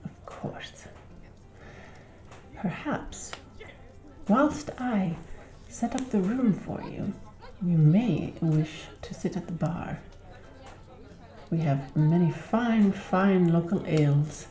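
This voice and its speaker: A woman playing a gracious, courteous innkeeper in a soft-spoken fantasy ASMR roleplay, with formal, unhurried phrasing. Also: lowish voice, expressive. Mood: gentle, attentive. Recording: roomy, echoing sound.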